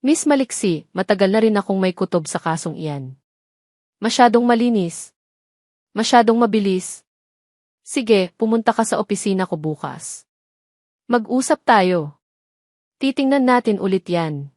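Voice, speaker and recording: Woman narrator playing old male detective, grave, quietly sympathetic, deliberate short sentences, audio drama, dry close sound. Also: medium pitch, expressive, no echo, lightly acted. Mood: grave, resolved.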